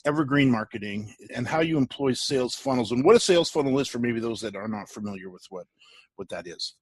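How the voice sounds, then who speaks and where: A middle-aged man hosting a podcast interview, speaking in an enthusiastic, measured way. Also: mid-range voice, slightly rough, dry recording. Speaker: podcast host.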